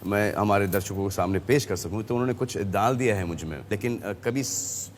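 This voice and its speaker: A man hosting a talk show, bantering playfully with his guests and teasing them between quick interjections. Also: fairly low pitch, a little hiss or hum. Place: television studio with audience.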